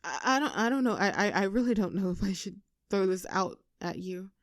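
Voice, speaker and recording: Casting: woman to play playful young girlfriend, teasing yet anxious underneath, intimate roleplay audio, mid-pitched, dry close sound. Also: lively intonation, dry recording, lightly acted. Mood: hesitant, serious. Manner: teasing, halting when earnest.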